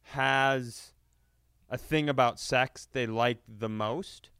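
A man telling comedic stories in casual, animated, profane banter, often acting out quotes as a comedy podcast host. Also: medium pitch, slow pace, clean tone, no room sound, studio quality.

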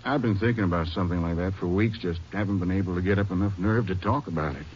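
An actor playing a gentle, anxious husband with a soothing manner, in a 1950s radio drama. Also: male voice, sits low, fast talker, close to an everyday voice. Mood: worried. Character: worried, soothing husband of a troubled wife. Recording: muffled old radio recording, faint hiss.

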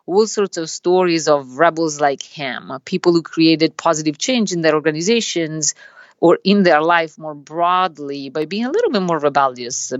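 A woman with an Italian accent, a business school professor explaining her ideas in measured, explanatory answers as a podcast guest. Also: deep voice, expressive, dry recording, lo-fi sound. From Italy.

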